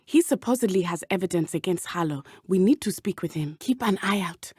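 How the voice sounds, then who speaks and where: A woman's voice: lowish voice, lively intonation, fairly quick, a little mellow, breathy edge, no room sound, clean studio sound. An actress playing an earnest, brave and loyal teenage witch in a video game, speaking in formal, careful phrasing. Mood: keen, composed. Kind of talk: video game character dialogue.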